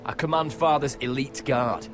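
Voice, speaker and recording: A man's voice: medium pitch, moderate pace, music in the background, natural voice. A man acting as a proud, loyal young noble commander in a fantasy video game, speaking firmly. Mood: resolute.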